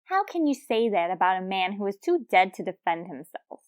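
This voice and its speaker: A woman's voice: mid-range voice, big pitch swings, moderate pace, no room sound, clean studio sound, lightly acted. A woman playing a scatterbrained, pretentious tavern chatterbox with an earnest manner in a radio comedy. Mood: indignant. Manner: earnest, rambling, indignant. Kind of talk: old-time radio comedy acting.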